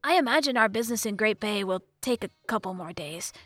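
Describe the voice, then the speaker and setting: A woman voicing a calm, earnest young male hero, sincere and good-natured, in a storybook narration. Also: medium pitch, brisk, no echo. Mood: grateful.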